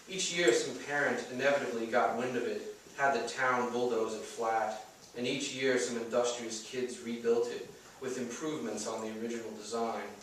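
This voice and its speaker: A man's voice: medium pitch, fairly bright tone. Man reading fiction in a very echoey room. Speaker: author reading aloud. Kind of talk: scripted first-person fiction reading. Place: bookstore, live public reading. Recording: echoey room, distant mic, faint noise.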